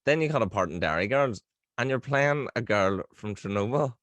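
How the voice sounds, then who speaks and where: A man hosting a podcast, asking relaxed, hesitant questions and laughing easily. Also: medium pitch, moderate pace, no echo, dull audio. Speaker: podcast host. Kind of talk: casual interview chat.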